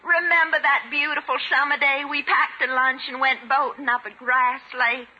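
An actress playing a coy, flirtatious, scheming widow with an American Southern accent and a cooing, drawn-out delivery in a 1950s radio comedy. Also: female voice, high-pitched, level tone, sharp tone. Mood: wistful, flirtatious. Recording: muffled, noisy old radio recording.

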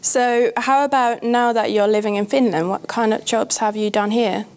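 A woman's voice: on the high side, fairly even intonation, moderate pace, a little mellow. A woman interviewer who asks short questions and introduces the segments. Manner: friendly and brief, leads segments. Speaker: interviewer host. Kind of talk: casual interview.

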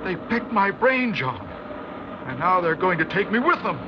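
Desperate doctor, strained high voice, urgent pleading, 1960s radio drama, dull lo-fi sound. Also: male voice, big pitch swings, normal speed. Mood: pleading, terrified. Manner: urgent, pleading, breathless dread. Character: desperate male scientist held captive by aliens. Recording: noisy, muffled old radio recording.

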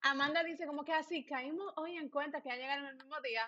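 A woman co-hosting a casual podcast, lively and steering the talk with questions. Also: high voice, fast talker, noisy background. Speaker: podcast co-host. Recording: dull lo-fi audio.

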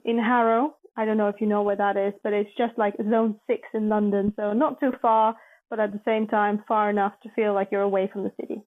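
A woman's voice: mid-range voice, level tone, brisk. Woman from Latvia on a tinny line. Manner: chatty, thinks aloud with small restarts. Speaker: guest. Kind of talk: casual interview. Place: home in lockdown. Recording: tinny phone-like call line.

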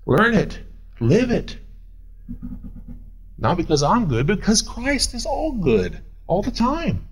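Pastor, 40s, male: high, swooping delivery, paces the pulpit, argues with imagined doubters. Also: slow pace, long pauses, husky. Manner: animated, swooping pitch, paces while preaching; answers his own imagined questions. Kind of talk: sermon.

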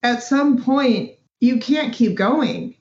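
Female panelist, higher register, casual conversational delivery, roomy echo on the recording. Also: takes it slowly, a little mellow. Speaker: panel participant. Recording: echoey room, dull lo-fi sound.

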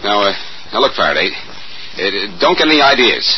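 A muffled, noisy vintage radio recording carries a breezy American amateur sleuth. His voice sits low and swings freely as he trades flippant wisecracks in classic detective-serial style. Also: very animated, a little fast. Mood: flustered. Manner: flippant, bantering. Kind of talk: old-time radio detective drama acting.

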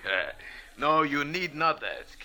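An actor playing a jovial, crafty nightclub owner in an old radio drama, gushing in hesitant, flowery, formal phrases. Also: male voice, fairly high pitch, big pitch swings, slow, pushed and bright, full character voice. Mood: gushing, pleased. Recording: old 1940s radio recording.

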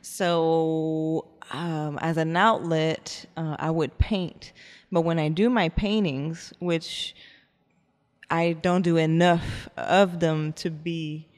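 A woman's voice: deep voice, fairly even intonation, slow pace. French Canadian woman chatting as a guest. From Montreal, French Canadian. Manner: rambling, lots of "like" and "you know", laughs easily. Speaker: guest, photographer. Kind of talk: casual interview chat. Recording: echoey room with long reverb.

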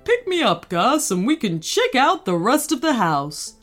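A woman's voice: a little high, sing-song, measured pace, faint music behind. A woman voicing a cheerful, easygoing cuddly toy diplodocus in a casual, jovial manner for a children's story. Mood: practical.

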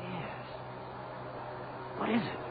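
A man's voice: drawn-out words, lo-fi sound. A man acting a dutiful, deferential young radio operator on a rocket ship in a 1940s radio drama. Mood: uneasy. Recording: thin, faintly noisy 1940s radio recording.

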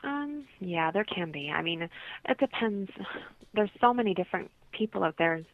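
A woman's voice: medium pitch, expressive, moderate pace, no echo. A woman interview guest explaining her holistic practice conversationally over a thin, phone-like line.

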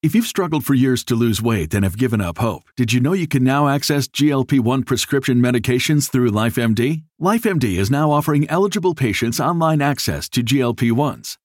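A man's voice: medium pitch, dry recording, clean studio sound. A man reading a scripted commercial as an announcer. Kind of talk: scripted ad read.

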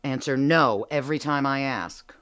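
An actress playing a cheeky, evasive burglary suspect in an audio drama, theatrical and teasing, swinging from meek to emphatic. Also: female voice, rumbling low, normal speed, fairly bright tone. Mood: sly, confident. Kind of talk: audio drama dialogue acting.

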